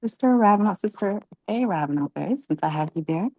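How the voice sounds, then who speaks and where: A woman leading a religious class, asking catechism questions and encouraging her students, often pausing with ums. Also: medium pitch, animated, warm tone, dry recording. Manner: encouraging, halting with many ums.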